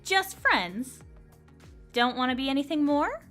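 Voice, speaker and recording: Woman acting a bubbly, high-voiced teasing girlfriend. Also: very animated, unhurried, music in the background. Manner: chatty, teasing. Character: bubbly, nosy young woman, a teasing best friend. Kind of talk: audio drama acting.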